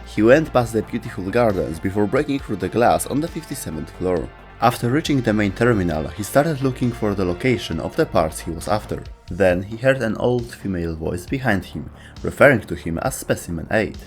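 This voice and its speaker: A man with a lowish voice hosts a lore show, reading a prepared script as narration. Soft music runs behind his words. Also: expressive, fairly quick, hardly any pauses. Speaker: host of a video game lore show.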